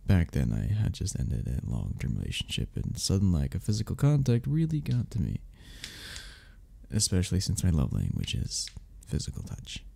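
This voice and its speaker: A man playing a gentle, patient, flirty cuddle club member in an intimate ASMR romance roleplay, soft-spoken, considerate and reassuring, with a gravelly, husky voice. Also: sits low, huge pitch swings, normal speed, full character voice. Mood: reflective, candid.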